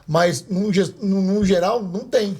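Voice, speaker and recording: A man co-hosting a podcast who talks in a lively, slangy way and cuts in often. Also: high-pitched, a little slow, breathy edge. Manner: animated, slangy, interjecting. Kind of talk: casual podcast chat.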